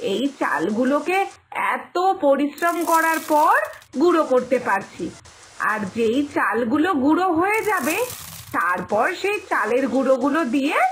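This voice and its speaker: A woman's voice: high voice, slight background noise. A woman voicing a doting, hard-working village mother in a cartoon moral story, with a coaxing, explanatory manner that repeats phrases.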